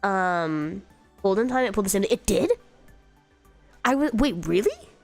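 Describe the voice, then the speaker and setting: A woman's voice: mid-range voice, expressive, measured pace, soft background music. A young woman streamer with a congested, slightly sick voice, chatting casually and rambling through comments with lots of fillers and giggles. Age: young adult. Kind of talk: casual chat reading viewer comments.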